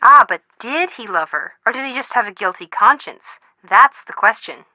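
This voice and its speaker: An excitable young American girl from a mystery game. Her voice is high and bright and swoops with gushing drama. She is chatty and gullible, in a dry, close recording. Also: moderate pace, no echo, full character voice, low-quality recording. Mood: speculative, cheeky. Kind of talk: scripted video game voice acting.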